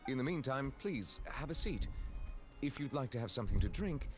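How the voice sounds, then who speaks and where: A man voicing a formal, courteous, composed high-ranking judge in a video game, speaking in a measured, polite way. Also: lively intonation, lightly acted. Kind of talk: video game character voice acting. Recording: game music behind the voice.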